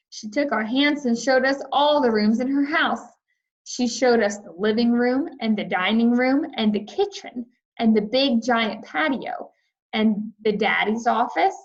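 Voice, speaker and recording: A woman reading a children's chapter book aloud in an expressive narrating style. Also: a little high, highs cut off. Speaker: read-aloud reader.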